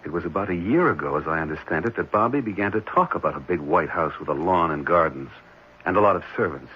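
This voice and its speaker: A man playing an earnest, searching questioner in a 1970s radio drama, probing in a halting way. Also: deep voice, lively intonation. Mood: troubled probing. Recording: muffled, noisy 1970s radio recording.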